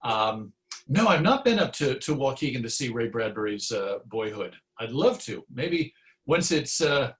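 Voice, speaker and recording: Male radio presenter as interview guest: conversational, medium pitch, reflective and measured. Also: very animated. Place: recorded virtual session.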